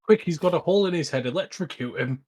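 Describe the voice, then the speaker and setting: Male co-host, somewhat high voice, informal movie conversation. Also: expressive, quick pace, darkish tone. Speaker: podcast co-host. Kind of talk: casual film chat.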